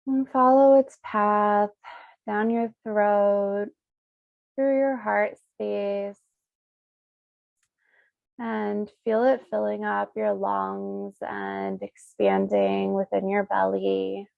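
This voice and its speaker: A woman leading a guided meditation as a Reiki practitioner, speaking soothingly in short, unhurried phrases with pauses. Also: mid-range voice, flat delivery, vocal fry, dry recording, narrow, tinny audio.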